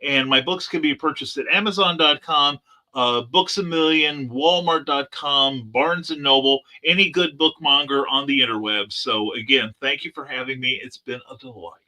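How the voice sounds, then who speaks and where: A man's voice: medium pitch, normal speed, sharp tone. An older man speaking as an author guest on a panel, courteous and polished, with slightly old-fashioned wording in casual promotional talk.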